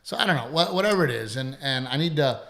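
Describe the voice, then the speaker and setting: Gravelly-voiced middle-aged man, rambling chat. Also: mid-range voice, expressive, fast, fairly bright tone. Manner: rambling, profane, self-deprecating. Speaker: comedian and podcast host. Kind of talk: casual chat.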